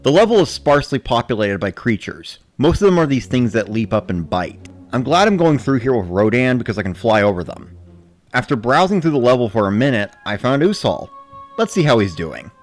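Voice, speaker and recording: A man giving a measured, matter-of-fact first-person narration of a scripted story. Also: medium pitch, very animated, faint music behind. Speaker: narrator of a dramatic reading.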